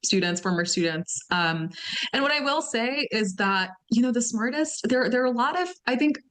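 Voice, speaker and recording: A woman's voice: fairly high pitch, animated, moderate pace, a bit bright. A woman from Massachusetts, a guest commentator on a talk radio show, speaking conversationally with many fillers. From Somerville, Massachusetts.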